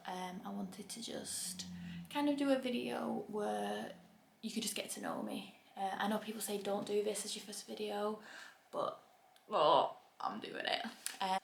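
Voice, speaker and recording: Chatty Bolton woman with gravelly fry. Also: medium pitch, roomy sound, a little hiss or hum. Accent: Bolton, Greater Manchester. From England. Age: 20s. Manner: chatty, frequent ums, self-deprecating. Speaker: YouTuber. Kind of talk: casual talking-to-camera vlog.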